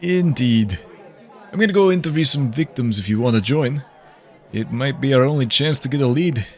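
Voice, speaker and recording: A man playing a measured senior detective who mentors a younger colleague in a science fiction audio drama. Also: mid-range voice, expressive, a little mellow, some chatter behind, natural voice. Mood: matter-of-fact.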